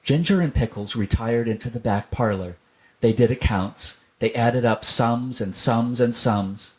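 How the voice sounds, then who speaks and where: A man's voice: medium pitch, unhurried. A man narrating a children's story as a scripted storyteller.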